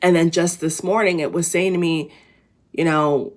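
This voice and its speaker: A woman with a low, raspy voice talks to her viewers in a loose, rambling inspirational vlog. She sounds tired, and she keeps circling back with restarts and fillers as she works out her thoughts. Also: steady tone, moderate pace. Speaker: vlogger. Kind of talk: casual inspirational talk to camera.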